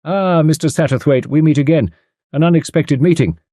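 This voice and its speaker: A man doing a calm, enigmatic gentleman. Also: on the high side, normal speed, no echo, clean studio sound, pitched above the performer's own voice, natural voice. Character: tall, dark, mysterious smiling gentleman.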